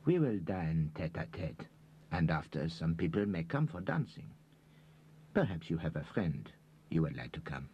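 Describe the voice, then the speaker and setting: A man voicing a refined widow with a French accent, who speaks in a gracious, breathy, courteous way. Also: deep voice, sing-song, moderate pace, highs cut off, slight background noise.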